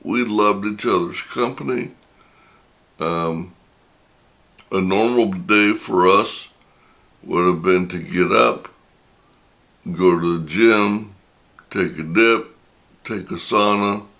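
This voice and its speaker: An older man hosting his own channel, telling a personal story in a reflective, unhurried way, his voice a little raspy from talking. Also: deep voice, fairly bright tone. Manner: reflective and unhurried, many pauses. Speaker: channel host.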